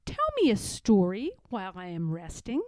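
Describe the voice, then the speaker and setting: A woman's voice: mid-range voice, big pitch swings, moderate pace, a little mellow, no echo, clean studio sound, pitched below the performer's own voice, natural voice. A woman voicing a mild, curious frog in a children's storybook, with a lilting, inquisitive delivery. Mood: weary, matter-of-fact. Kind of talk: children's storybook read-aloud character voice.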